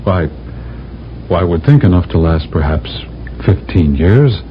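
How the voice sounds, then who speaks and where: A man's voice: sits low, lively intonation, unhurried, slight background noise. An actor playing a male space-age captain in a radio drama. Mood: alarmed disbelief. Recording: muffled vintage radio recording, music behind.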